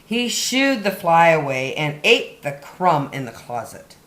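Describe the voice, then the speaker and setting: A woman with a slightly low voice reads aloud for young children as a story time host. She takes her time and lands key words with dramatic emphasis, her pitch rising and falling widely. The room around her sounds echoey. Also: very animated, unhurried, fairly bright tone. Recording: roomy, echoey indoor sound.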